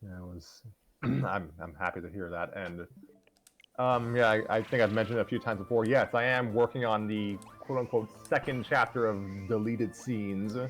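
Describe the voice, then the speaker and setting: A man's voice: lowish voice, steady tone, moderate pace. A man hosting a casual group chat, talking in a hesitant, rambling way as he discusses writing. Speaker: host and writer of fan scripts. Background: background music.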